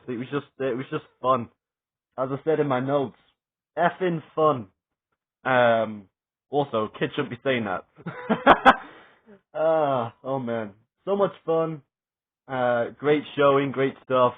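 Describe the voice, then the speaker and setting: A young adult man giving a rambling, excited spoken review, swearing often and stumbling over fillers and restarts. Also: mid-range voice. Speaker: wrestling reviewer. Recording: tinny phone-like sound.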